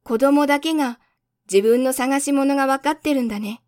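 A woman voicing a young prince, a curious, innocent small boy asking gentle questions in a storybook reading. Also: high-pitched, moderate pace, no room sound, clean studio sound, pitched above the performer's own voice, natural voice. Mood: earnest.

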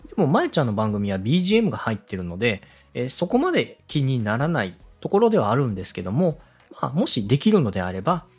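Podcast host, man, animated pitch swings and slightly rough tone, courteous and methodical advisor. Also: fast, few pauses, soft background music. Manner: polite, explanatory, methodical. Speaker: podcast host, radio director coaching a co-host. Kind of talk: structured advice talk for a podcast.

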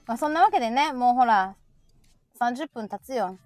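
High, animated Kansai woman host. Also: normal speed, no echo, faint music behind. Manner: teasing, chatty. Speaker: host of the show. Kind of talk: casual comedic chat.

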